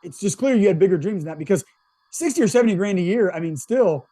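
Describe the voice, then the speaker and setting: A man's voice: high voice, animated, fast talker, warm tone, dry recording. A man hosting a podcast, chatty and full of fillers as he introduces and questions a guest. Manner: chatty, many fillers and restarts. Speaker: podcast host. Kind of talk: casual interview talk.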